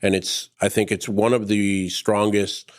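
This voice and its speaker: A man speaking as a manufacturer's guest in a podcast, hesitant, with many pauses and restarts. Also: lowish voice, little pitch movement. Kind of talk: casual chat.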